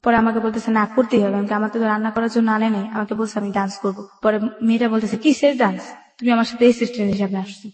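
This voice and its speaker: Female, Bangladeshi accent, interview guest recounting life story colloquially, quick delivery, reverberant sound. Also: on the high side, level tone, a little mellow. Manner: colloquial, acts out remembered dialogue. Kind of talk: personal storytelling in a radio interview. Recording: echoey room with long reverb.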